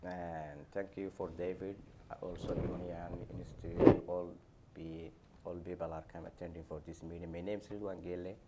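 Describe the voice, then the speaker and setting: A man from Somalia with a Somali accent, speaking haltingly on a panel as an airport worker. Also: deep voice, flat delivery, very noisy. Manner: halting, non-native phrasing. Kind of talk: panel discussion answers.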